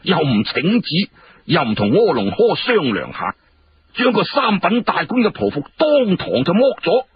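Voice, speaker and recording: A man voicing an earnest, formal court official who argues in emphatic, drawn-out phrases in historical storytelling. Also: sits high, wildly swooping pitch, pitched above the performer's own voice, exaggerated voice. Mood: disapproving. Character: earnest, formal court official arguing carefully before his emperor. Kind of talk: Cantonese historical storytelling dialogue. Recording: muffled, clearly noisy radio-like recording.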